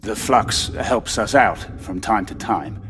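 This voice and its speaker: A stern, low-voiced army commander. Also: male voice, slow, background noise. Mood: brusque. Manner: clipped, commanding. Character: stern, weary male military commander. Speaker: military commander. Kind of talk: audio drama acting.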